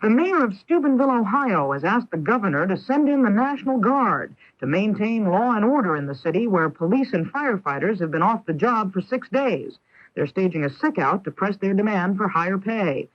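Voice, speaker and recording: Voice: mid-range voice, very animated, a little fast, lo-fi sound. A news anchor reading scripted radio news on an old 1980s broadcast.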